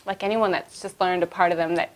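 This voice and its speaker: A woman's voice: lowish voice, fairly even intonation, fast. A young Canadian woman with a Canadian accent, chatting casually to camera in a rambling way with many fillers. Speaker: vlogger.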